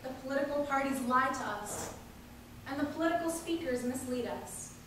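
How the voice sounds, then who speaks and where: A woman's voice: high-pitched, moderate pace, natural voice. A woman delivering the speech of an impassioned 19th-century populist orator, declaiming it emphatically and indignantly. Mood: accusing, emphatic. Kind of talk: declaimed political speech read aloud. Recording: echoey hall from far off, faint noise.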